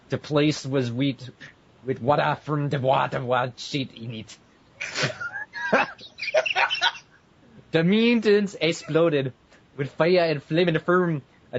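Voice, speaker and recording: A man's voice: medium pitch, muffled recording, a little hiss or hum. A man hosting an amateur podcast in a casual, bantering way. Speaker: podcast host.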